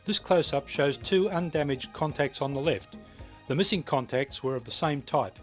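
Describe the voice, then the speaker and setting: A man's voice: a little high, normal speed. A man reading scripted instructional narration for a technical training film in a precise, matter-of-fact way. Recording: music behind voice, VHS tape transfer.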